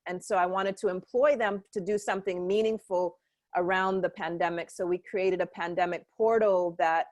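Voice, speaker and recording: A woman's voice: on the low side, steady tone, moderate pace, a little mellow, no room sound. A woman professor speaking thoughtfully and fluently as a panelist in a video call discussion. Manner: thoughtful, fluent academic speech with fillers.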